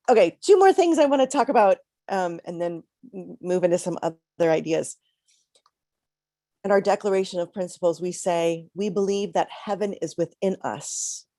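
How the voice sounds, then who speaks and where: A middle-aged woman minister giving a reflective, conversational sermon with pauses, a little congested from a cold. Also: on the low side, mellow, no echo.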